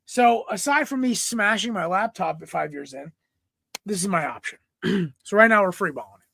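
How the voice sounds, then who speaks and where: A young man hosting a casual sports podcast from home, a little raspy from smoke, rambling and clearing his throat often. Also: high-pitched, animated, normal speed, pushed and bright. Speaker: podcast host. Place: room at home. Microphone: computer mic, no headset.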